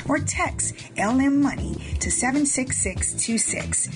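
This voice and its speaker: A woman's voice: high voice, expressive, measured pace, music in the background, rough recording. A woman in her forties co-hosting a radio talk show, emphatic, repeating key words and laughing often. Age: 40s. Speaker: radio co-host. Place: radio studio.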